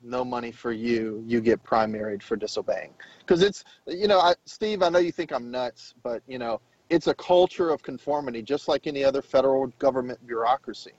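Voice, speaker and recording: A man's voice: medium pitch, a little fast, darkish tone, dry recording. A man in his thirties co-hosting a political podcast, chatting casually and opinionated, full of "you know" asides. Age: 30s. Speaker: podcast co-host. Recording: dull lo-fi sound.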